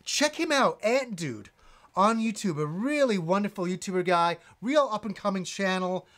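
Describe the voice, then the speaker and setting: A man in his forties, a gaming channel host, reading donations on a live stream with lively enthusiasm and rambling restarts, in a room with game shelves. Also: high-pitched, normal speed. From Yorkshire, England, raised in Canada. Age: 40s.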